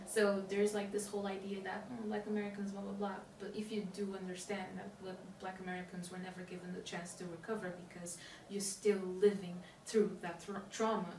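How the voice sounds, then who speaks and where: A woman's voice: mid-range voice, flat delivery, a little fast, few pauses, darkish tone. A woman from Portugal hosting a casual interview, wandering through her thoughts with many fillers and asides. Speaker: host. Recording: roomy echo, distant mic, faint hiss.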